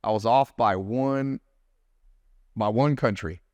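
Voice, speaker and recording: Animated man, dry close mic. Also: on the low side, slow, no echo. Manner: animated storyteller, mimics accents. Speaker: podcast guest, business mentor. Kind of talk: casual chat.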